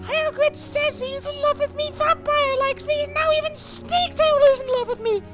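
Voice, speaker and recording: A man voicing a vain, foul-mouthed teenage goth girl with shrill, indignant whining in a fan fiction reading. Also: steady tone, moderate pace, fairly bright tone. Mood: whiny, indignant. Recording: music playing behind the voice.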